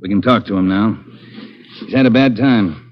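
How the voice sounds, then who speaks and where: An actor playing a curt police captain who gives orders in a 1940s radio crime drama. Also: male voice, on the low side, moderate pace, slight background noise, natural voice. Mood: commanding. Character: gruff police captain, commanding. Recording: dull lo-fi 1940s radio broadcast.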